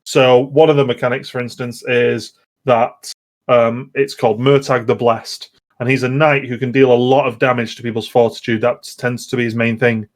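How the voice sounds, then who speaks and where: A man in a casual chat, explaining things in a halting, rambling way. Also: mid-range voice, level tone. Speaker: podcast host.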